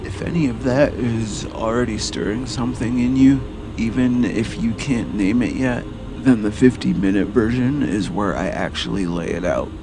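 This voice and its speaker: A man giving a scripted motivational narration as a presenter, in an earnest, measured manner. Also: mid-range voice, noisy background. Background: music.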